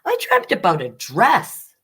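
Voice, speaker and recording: A woman's voice: medium pitch, wildly swooping pitch, a little slow, pushed and bright, husky, pitched above the performer's own voice, exaggerated voice. A woman voicing an earnest, hopeful young child who pleads sincerely in a picture book.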